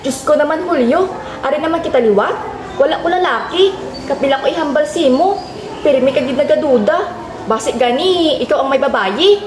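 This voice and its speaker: A woman's voice: high-pitched, lively intonation, moderate pace, background noise. A woman playing a young battered wife, weary, pleading and defensive, in an amateur radio drama with a Western Visayan accent. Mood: hurt, pleading. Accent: Western Visayan Philippine. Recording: roomy echoing recording with music behind. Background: music.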